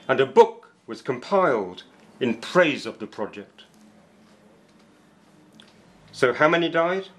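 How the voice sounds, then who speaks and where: A middle-aged man, a poet, giving a deliberate, solemn reading of his work in a small cafe. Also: fairly high pitch. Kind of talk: dramatic poetry reading for two voices. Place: small indoor cafe with bookshelves.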